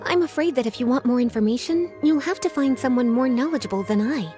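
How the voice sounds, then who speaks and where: Game librarian lady, high pitch, lilting intonation, flirtatious, composed, bookish, measured teasing delivery. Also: lively intonation. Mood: knowing, mysterious. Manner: teasing endearments, measured and articulate. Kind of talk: animated video game character acting. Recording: faint music behind the voice.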